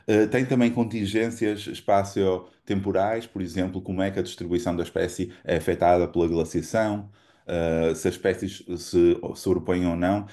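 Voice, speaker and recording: Low-voiced Portuguese man giving a lecture. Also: mellow. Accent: European Portuguese. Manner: measured, explanatory, with frequent fillers and restarts. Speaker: guest lecturer. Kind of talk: academic seminar lecture.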